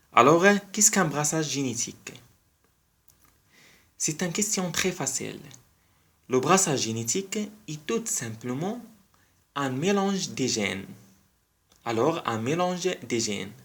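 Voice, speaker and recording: A man's voice: a little high, fairly bright tone. A man who is not a native French speaker hosts a biology channel and explains a topic in an educational style, carefully repeating key phrases for emphasis.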